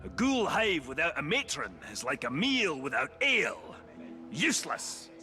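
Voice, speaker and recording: An actor playing a gruff, hearty dwarf hunter in a video game cutscene, blustering and animated. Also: male voice, fairly high pitch, a little slow, music in the background. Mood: grim resolve.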